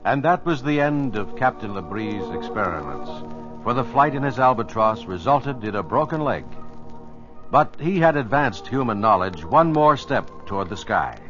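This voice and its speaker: A man's voice: medium pitch, lively intonation, normal speed, over music. A man reads scripted narration for a 1950s radio documentary. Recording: muffled old radio recording.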